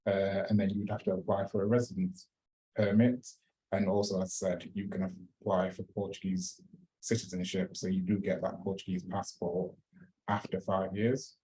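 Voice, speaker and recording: A man explaining a residency program in a relaxed, chatty way, with fillers and restarts. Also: low-pitched, moderate pace, low-quality recording. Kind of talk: informational talk.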